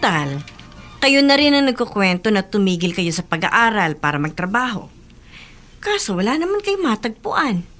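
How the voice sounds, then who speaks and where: A caring Filipino aunt. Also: female voice, mid-range voice, very animated, normal speed, a bit bright, soft background music. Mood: gently nagging. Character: caring middle-aged aunt, gently reproving. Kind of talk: Filipino radio drama acting.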